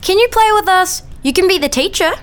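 A woman's voice: very high-pitched, fairly quick. A female performer playing a bossy, doubtful young daughter, childlike and insistent, in a radio play. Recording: loud background noise.

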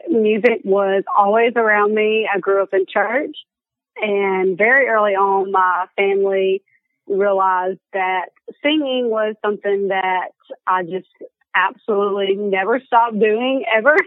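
A woman's voice: medium pitch, measured pace, no echo. A young woman with an Alabama accent, a guest chatting casually and laughing often. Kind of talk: casual chat. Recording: tinny phone line, dry.

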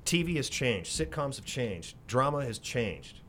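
A man's voice: big pitch swings, measured pace, fairly bright tone. A middle-aged American man, a radio host, talking candidly and emphatically in an interview and repeating short phrases for punch. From raised in Singapore, later moved to the United States. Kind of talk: candid, conversational interview talk with storytelling. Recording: rumbly noise behind the voice.

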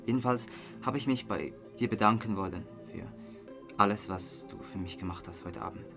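A man's voice: on the low side, normal speed, vocal fry, music in the background. An actor with a Swiss German accent playing a drunk, remorseful young man in a radio drama. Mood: earnest, apologetic. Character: drunk, remorseful young man confiding in his best friend.

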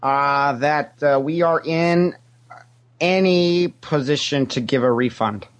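A man's voice: fairly high pitch, slow. A man playing a cheerfully shameless, greedy Ferengi alien money investigator, talking in a hesitant, rambling, matter-of-fact way in an improvised interview. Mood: shamelessly mercenary. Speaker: interview guest. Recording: internet call connection.

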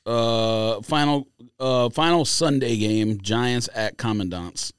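A man's voice: medium pitch, slow pace, clean tone, dry recording, clean studio sound. A man co-hosting a sports podcast, bantering casually in a blunt, slangy way.

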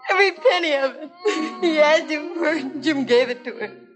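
A woman's voice: sits high, fairly quick, music in the background, close to an everyday voice. A woman playing a grief-stricken shooting witness, crying and repeating herself in anguish, in a 1950s crime drama. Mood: hysterical grief. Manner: anguished, repetitive pleading. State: crying, sobbing. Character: distraught, grieving woman, a shooting victim's companion.

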